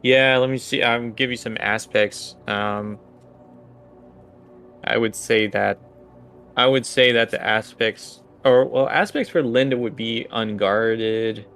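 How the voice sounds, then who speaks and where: A man's voice: medium pitch, steady tone, slow, fairly bright tone, faint music behind. A man running a tabletop game, improvising narration and rulings in a hesitant, think-aloud way. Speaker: game master.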